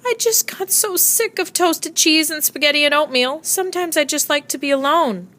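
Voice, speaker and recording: A woman's voice: high-pitched, moderate pace, bright, forceful tone, pitched above the performer's own voice. A woman voicing a mild, polite male animal from a children's storybook in a plain-spoken, earnest way. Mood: apologetic.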